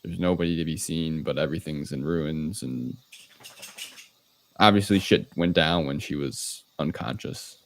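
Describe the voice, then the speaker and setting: A man's voice: extremely low pitch, a little slow, creaky, a little hiss or hum. A man co-hosting a podcast, casually recapping a film in conversation. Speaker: podcast co-host. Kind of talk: casual movie recap discussion.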